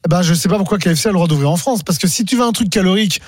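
Rapid-fire joking man on radio. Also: high-pitched, dry recording, studio quality. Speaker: radio panelist. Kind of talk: lively talk radio debate.

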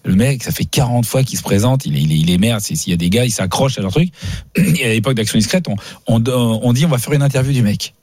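A man hosting a radio show, speaking in casual slang with bantering, animated storytelling. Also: medium pitch, fast talker, no echo, clean studio sound. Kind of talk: casual bantering talk and comic anecdotes.

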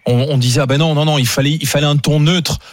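A man's voice: on the high side, fairly even intonation, fast talker, dry recording, studio quality. A man hosting a radio panel discussion in a conversational style. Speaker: radio talk show host.

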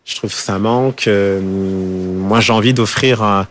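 Créateur de mode, voix assez grave, réponses d'interview hésitantes. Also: male voice, fairly low pitch, fairly even intonation, a little slow, fairly bright tone. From France. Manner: hesitant, many fillers and restarts. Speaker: fashion designer and school founder. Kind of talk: interview answers.

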